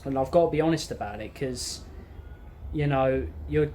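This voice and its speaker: A man's voice: mid-range voice, moderate pace, warm tone. Young man chatting over background noise. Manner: rambling, informal, matter-of-fact. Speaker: bus driver vlogger. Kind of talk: unscripted casual chat to camera. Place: inside an empty parked bus. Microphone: phone or camera at arm's length. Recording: clear ambient noise inside bus.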